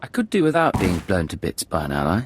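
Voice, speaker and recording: A man's voice: deep voice, huge pitch swings, fast, background noise. A man playing a cool, calculating secret agent in a video game, controlled and understated. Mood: calm, businesslike. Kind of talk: video game dialogue acting.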